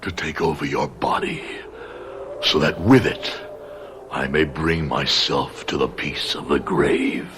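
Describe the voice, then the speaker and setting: A man playing a ghostly, menacing doppelganger in a radio drama, speaking slowly and ominously. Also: extremely low pitch, fairly even intonation. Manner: slow, ominous. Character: sinister ghostly male doppelganger, patient and menacing. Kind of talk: old-time radio drama acting.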